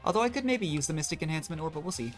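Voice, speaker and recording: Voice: mid-range voice, animated, racing. A streamer giving casual, self-deprecating commentary while playing a video game, with game music in the background. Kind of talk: casual gameplay livestream commentary.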